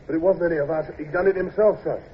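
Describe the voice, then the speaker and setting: An actor playing an anxious, rough-spoken British navy subordinate in a radio drama, obedient and deferential. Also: male voice, a little high, steady tone, rapid-fire, lightly acted. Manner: deferential, uneducated grammar. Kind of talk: old-time radio drama acting. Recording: dull lo-fi radio recording with hiss.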